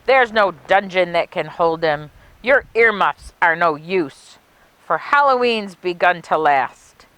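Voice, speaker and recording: A woman reading a children's picture book aloud as a library story time host, with expressive, deliberate storytelling. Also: on the low side, smooth, no room sound.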